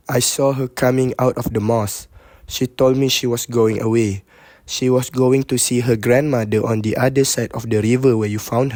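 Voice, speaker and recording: Casting: amateur man as mournful villager, medium-pitched voice, reflective recited monologue, dry close sound. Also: darkish tone, dry recording, lightly acted. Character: mournful man recalling a dead girl. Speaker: amateur student actor. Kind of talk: stage play monologue, recited.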